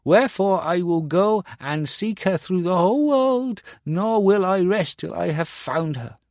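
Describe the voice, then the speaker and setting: A proud fairy-tale boy with a high, indignant voice, as a man puts him on. The sound is dull and lo-fi, close and dry with no room around it. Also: moderate pace, no room sound, lightly acted. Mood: remorseful. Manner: haughty, indignant. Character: proud cruel boy turned penitent.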